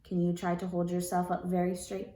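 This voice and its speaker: A woman teacher reading a storybook aloud to young children in an expressive, deliberate way. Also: on the low side, roomy sound. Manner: deliberate, expressive, prompting listeners with questions.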